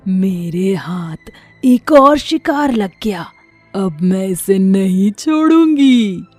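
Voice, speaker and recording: A man voicing a cruel, cackling female witch in a cartoon horror story, with slow, menacing threats. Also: high-pitched, animated, a little mellow, dry recording, soft background music, voice pushed up, exaggerated voice. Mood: hungry glee.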